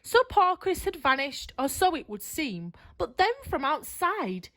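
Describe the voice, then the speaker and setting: A woman's voice: sits high, big pitch swings, measured pace, a bit bright. A woman reading a rhyming children's story aloud, expressively and with drama.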